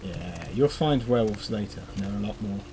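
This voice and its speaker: A man's voice: fairly low pitch, lively intonation, fairly quick, mellow, soft background music, poor audio. A man giving casual, joking commentary as he plays and explains a video game, with a wry, playful manner. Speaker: gaming channel player and guide.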